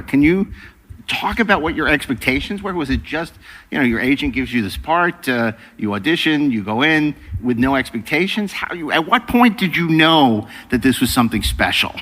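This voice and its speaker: Male host, mid-pitched, conversational interviewing from a stage, long room reverb. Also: lively intonation, moderate pace, a bit bright. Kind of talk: onstage interview questions. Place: on stage in a hall. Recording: echoey hall with long reverb.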